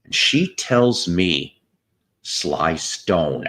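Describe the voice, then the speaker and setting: A man hosting a casual chat show, telling a long story in a relaxing voice, rambling with pauses and restarts. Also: low-pitched, big pitch swings, a bit bright, poor audio. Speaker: host.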